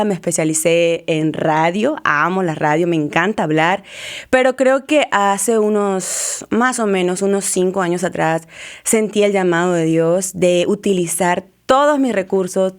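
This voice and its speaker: Eager young woman, lowish voice. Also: moderate pace. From Venezuela. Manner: warm, eager, laughs easily. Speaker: podcast guest, journalist. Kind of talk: conversational podcast interview.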